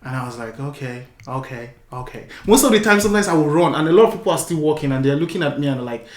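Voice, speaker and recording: Nigerian guy hosting a podcast, animated, says like like a lot, echoey room. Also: fairly high pitch, fast. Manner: animated storyteller, repeats words. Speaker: podcast host. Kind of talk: casual interview chat.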